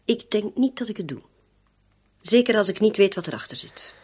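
An actress playing a shrewd, worldly hostess in a radio play, wary and wry, with an expressive, probing way of talking. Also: female voice, medium pitch, normal speed, breathy edge. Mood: suspicious, reluctant. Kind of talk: Dutch-language radio play acting.

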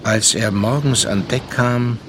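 An actor in a German radio drama playing a worldly, sardonic narrator, telling his story in a measured, reflective way. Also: mid-range voice, background noise, close to an everyday voice. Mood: wry, reflective. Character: sardonic, worldly gentleman narrator recounting a sea inquiry.

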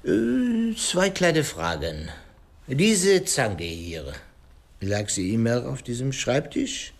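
An actor playing a shrewd, courteous detective in a radio play, speaking formally and deliberately with French phrases and musing hesitations. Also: male voice, medium pitch, big pitch swings, gravelly, heavily acted. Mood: probing. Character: shrewd, courteous male detective, pensive and probing.

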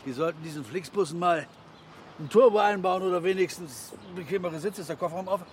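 An actor playing a guarded, dry middle-aged drug supplier, terse and reserved, in a naturalistic radio drama. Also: male voice, high-pitched, fast talker, a little hiss or hum, close to an everyday voice. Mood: wary. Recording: very loud car and street ambience.

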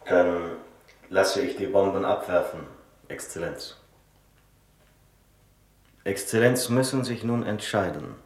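An actor playing a stern colonel in a 1950s radio drama, giving clipped, formal military commands. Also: male voice, deep voice, takes it slowly, long pauses. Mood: formal urging. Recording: echoey old radio recording.

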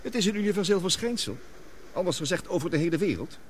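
Gloomy scientist in a radio play, serious and deliberate, bit of noise. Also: male voice, high-pitched, animated. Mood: grave explaining. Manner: grave, deliberate, explanatory. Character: gloomy, guilt-ridden male scientist on a doomed expedition. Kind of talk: radio drama acting.

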